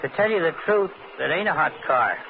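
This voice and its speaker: Chummy streetwise cabbie, higher-pitched. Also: male voice, expressive, brisk, gravelly. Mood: sheepish. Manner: slangy, ungrammatical, deferentially chummy. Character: chummy, shifty streetwise male cab driver. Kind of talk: old-time radio comic character acting. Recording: noisy, tinny vintage radio recording.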